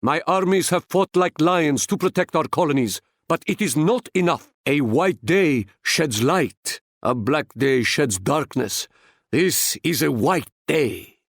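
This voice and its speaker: Proud Ottoman sultan, wise ruler, somewhat high male pitch, stately, formal, measured, video game character, dry studio sound. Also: animated, dry recording. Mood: solemn, resolute. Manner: stately, formal, measured, proverb-laden delivery.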